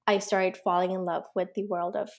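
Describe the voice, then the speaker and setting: A young woman from Pakistan, an author and illustrator, answering interview questions in a relaxed, reflective way with many ums and likes. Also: medium pitch, moderate pace, creaky. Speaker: guest, children's book author and illustrator.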